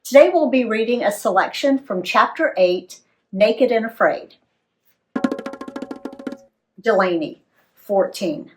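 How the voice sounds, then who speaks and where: A woman reading a story aloud for young listeners in a dramatic, emphatic, clearly phrased way. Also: on the high side, takes it slowly, pauses often, faint music behind, rough recording. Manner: dramatic, animated delivery with emphatic dialogue.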